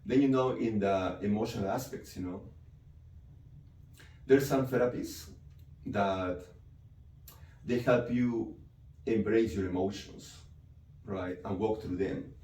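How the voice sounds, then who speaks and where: Man, low and mellow. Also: slow, pauses often. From Peru. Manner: explanatory, frequent "right?" and "you know" tags. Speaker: psychiatrist. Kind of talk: interview answers. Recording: echoey room, mic from a distance, faint noise.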